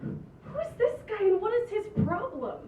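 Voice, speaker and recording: A woman's voice: very high-pitched, very animated, moderate pace, echoey room, far from the mic, noisy background. A woman playing an exasperated, blunt young female movie producer in an amateur script table read.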